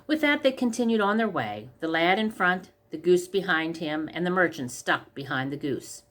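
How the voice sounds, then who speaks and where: A woman's voice: on the low side, animated, moderate pace, a bit bright. A woman reading a scripted comic fairy tale aloud as a storyteller. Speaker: story reader.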